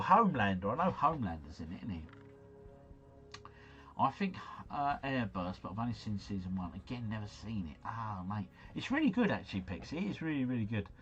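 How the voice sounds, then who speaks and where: A man hosting a livestream, playing a quiz game while chatting with viewers, reading out their messages, and stumbling over foreign names. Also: mid-range voice. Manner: chatty, jokey, reads chat messages aloud, mumbles foreign names. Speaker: livestream host.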